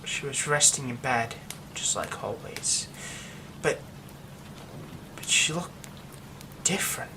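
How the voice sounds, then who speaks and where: A man voicing a haunted young man hiding a werewolf curse, speaking in a hushed, halting way that often drops to a whisper. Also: medium pitch, lively intonation, noisy background. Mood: nervous, haunted. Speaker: ASMR roleplay performer. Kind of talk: ASMR horror roleplay.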